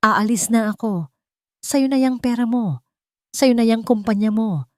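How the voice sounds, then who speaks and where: A woman's voice: fairly high pitch, measured pace, a little mellow, no room sound, studio quality, voice pushed up, natural voice. A woman voicing a hurt young working woman in a dramatic audio love story, firm and impassioned. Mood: defiant.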